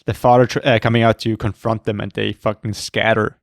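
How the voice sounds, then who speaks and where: A man co-hosting a podcast, talking casually about horror films, rambling and swearing often, with a voice going hoarse. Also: fairly low pitch, level tone, brisk, no echo, clean studio sound. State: losing his voice, throat clearing. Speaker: podcast co-host. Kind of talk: casual film chat.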